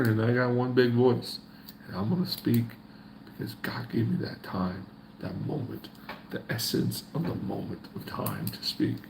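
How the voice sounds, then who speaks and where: A man with a gravelly voice talks to the camera, folksy and preachy, repeating his points about faith and purpose. He sounds like he is in an echoey room, with a faint ambience behind him. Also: medium pitch, darkish tone, a little hiss or hum. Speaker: faith vlogger. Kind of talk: casual motivational talk to camera.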